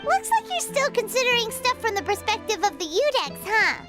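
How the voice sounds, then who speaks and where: An extremely high, childlike squeak with very animated pitch, set over loud music. It is a little fairy companion from a video game. The delivery is chirpy, blunt and full of curiosity. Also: female voice, moderate pace. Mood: musing, impressed. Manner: refers to self in third person, exclaiming, inquisitive. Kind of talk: video game character dialogue.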